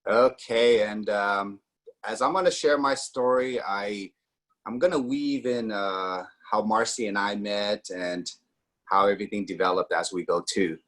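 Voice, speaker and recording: A man's voice: fairly low pitch, a little slow, a bit bright. A man in his forties from Austria, telling his personal story in a casual way, with many fillers and acted quotes. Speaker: network marketing guest.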